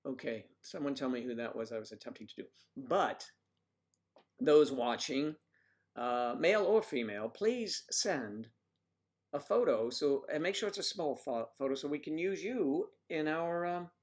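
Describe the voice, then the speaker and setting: A man's voice: fairly high pitch, animated, measured pace. A man hosting a casual livestream, reading viewers' comments aloud and joking with them by name in a rambling, chatty way. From United States. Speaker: livestream host reading chat.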